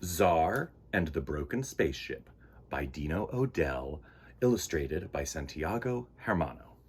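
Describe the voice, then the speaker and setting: A man's voice: rumbling low, very animated, a little slow, slight background noise. A man hosting storytime, reading a picture book aloud in a dramatic, playful, emphatic way for children. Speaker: children's storytime host and reader.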